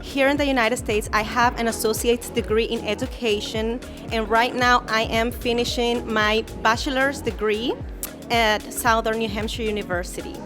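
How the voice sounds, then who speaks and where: A woman's voice: a little high, fairly even intonation, moderate pace, music in the background. A young woman from the Republic, a panelist earnestly reading a prepared question. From Dominican Republic.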